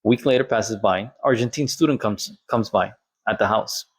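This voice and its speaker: Man, lowish voice, candid vlog storytelling, dry close recording. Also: moderate pace, breathy edge, no room sound. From Colombia. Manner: candid, conversational, quotes others in dialogue. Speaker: vlogger. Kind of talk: personal storytelling monologue.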